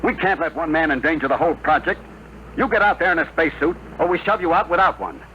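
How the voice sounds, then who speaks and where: An actor playing a firm, no-nonsense spaceship officer in a 1950s radio drama. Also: male voice, fairly high pitch, lively intonation, quick pace, sharp tone. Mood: threatening, firm. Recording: dull, slightly noisy vintage radio broadcast.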